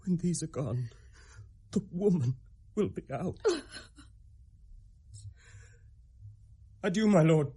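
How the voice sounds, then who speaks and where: A man's voice: fairly high pitch, expressive, moderate pace, warm tone, slightly rough. An actor with a British accent playing a hot-headed, vengeful young nobleman in a Shakespearean radio drama, in an intense, declamatory manner. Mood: stricken grief.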